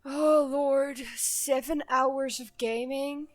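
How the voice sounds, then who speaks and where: A woman's voice: high-pitched, fairly even intonation, slow pace, soft background music. A woman streaming a game, chatting casually and laughing with her viewers. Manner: chatty, laughing, talks to chat. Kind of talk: casual live-stream chat.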